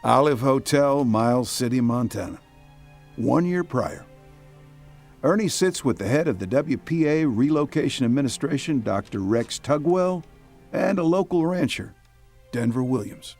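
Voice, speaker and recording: A reflective radio drama narrator with a medium-pitched voice. He speaks in a deliberate, literary way, with music playing softly underneath. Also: expressive. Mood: scene-setting. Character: reflective storyteller narrating a 1930s-set drama.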